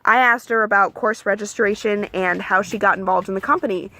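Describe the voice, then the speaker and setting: Bright, gravelly-voiced young woman vlogging. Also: mid-range voice, a little fast. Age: young adult. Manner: chatty and candid, lots of ums and likes; calls her own voice monotone. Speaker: vlogger giving application advice. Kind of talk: casual advice vlog.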